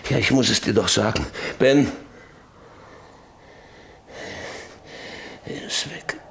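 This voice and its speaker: An actor playing a bitter, bedridden middle-aged father in a radio drama, brooding and halting, repeating his phrases. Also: on the low side, creaky. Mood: gloomy, fearful. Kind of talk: German radio drama acting. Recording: hissy old radio drama recording.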